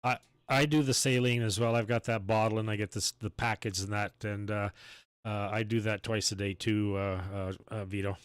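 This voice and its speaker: A man chats casually as host of an amateur radio chat, plain-spoken and somewhat halting. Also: fairly low pitch, steady tone, smooth, no echo, studio quality. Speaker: amateur radio operator hosting a streamed chat.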